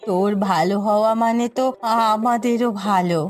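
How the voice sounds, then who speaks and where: A woman's voice: mid-range voice, moderate pace, faint music behind, lightly acted. A woman voicing a doting, proud elderly village grandmother in a moral cartoon story. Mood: tender, proud. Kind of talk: Bengali moral cartoon dubbing.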